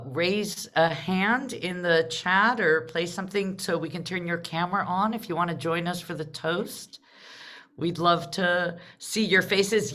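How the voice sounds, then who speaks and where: A woman with a bright, forceful voice hosts a live online event. Her delivery is slow and casual, broken into short phrases with frequent little check-ins. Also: lowish voice. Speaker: host of a live theatre discussion. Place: video call.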